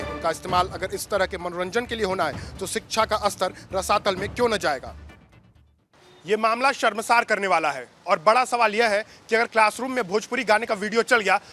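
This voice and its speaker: A man delivering a scripted news report as a reporter, with indignant rhetorical questioning. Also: high voice.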